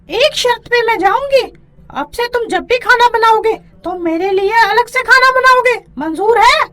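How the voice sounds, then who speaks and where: A woman voicing a hungry, greedy witch in an animated children's story, exclaiming and gloating as she schemes. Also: extremely high pitch, takes it slowly, heavily acted. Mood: gloating, bossy.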